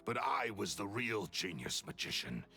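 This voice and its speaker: Bitter rival magician, menacing sneer, lowish gravelly tone. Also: male voice, sing-song, moderate pace, sharp tone, soft background music, heavily acted. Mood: boastful. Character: bitter jealous male magician villain. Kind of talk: game voice acting.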